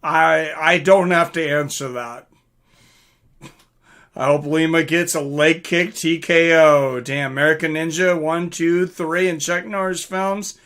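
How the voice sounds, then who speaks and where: Bright-voiced man hosting a casual live stream. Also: on the high side, steady tone, unhurried. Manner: informal, mildly profane, rambling. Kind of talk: casual live chat, reading out and answering viewer comments.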